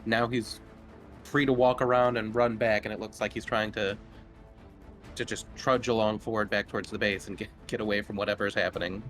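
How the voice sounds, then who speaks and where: A man's voice: fairly low pitch, little pitch movement, fairly quick, a bit bright. Casual man running a tabletop game. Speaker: game master. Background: game music.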